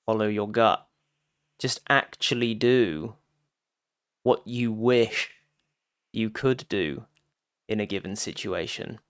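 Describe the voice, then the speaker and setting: A man giving a guided meditation talk as a teacher, phrasing deliberately with frequent pauses and addressing listeners directly. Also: on the low side, clear, resonant tone, dry recording, studio quality.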